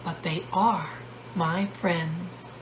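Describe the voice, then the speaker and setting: A woman's voice: fairly low pitch, fairly even intonation, drawn-out words, slight background noise, voice pushed down. A woman reading the part of a kindly, puzzled male cap peddler in a children's storybook. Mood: gentle. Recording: roomy echo, loud guitar music.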